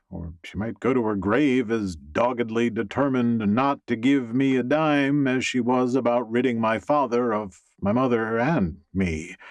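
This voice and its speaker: A man narrating a first-person novel as an audiobook, expressive and wry. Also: medium pitch, dry recording.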